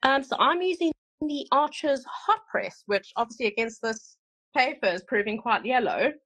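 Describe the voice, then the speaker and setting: A woman's voice: fairly high pitch, lively intonation, normal speed, fairly bright tone, low-quality recording. A woman with a South African twang, a guest artist chatting casually on a podcast, rambling with fillers and restarts.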